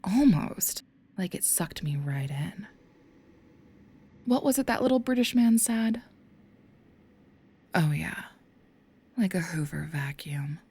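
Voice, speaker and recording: A seductive demon in a woman's body, acted by a woman for an audio roleplay. The voice is low and creaky, slipping into breathy whispers as it mocks and teases in drawn-out phrases. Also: expressive, darkish tone, full character voice. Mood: smug, musing. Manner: mocking, teasing, drawn-out phrasing.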